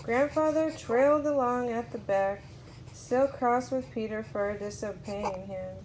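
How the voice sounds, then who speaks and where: A woman's voice: a little high, measured pace, darkish tone, rough recording. A woman at home at story time, unhurriedly reading a picture book aloud to a little one, with plenty of noise around her. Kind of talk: read-aloud story narration for a small child.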